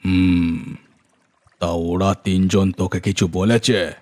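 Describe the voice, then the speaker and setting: A man voicing a grim, brooding bandit gang chief in an animated horror story, measured and commanding. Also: low-pitched. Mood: gruff, questioning. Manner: measured, authoritative. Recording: music bed behind voice.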